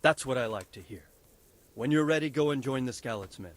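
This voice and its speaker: A man's voice: medium pitch, expressive, a little fast, close to an everyday voice. An actor playing a wounded male lord who briefs his men on a battle plan with firm authority. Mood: stern. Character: wounded, stern male lord commanding a siege. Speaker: military commander.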